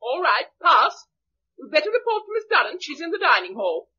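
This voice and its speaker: A shrill, suspicious young sentry girl. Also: very animated, fairly quick, bright, forceful tone, muffled recording, heavily acted. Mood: tense, challenging. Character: nervous young female sentry, sharp and suspicious. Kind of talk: radio drama acting.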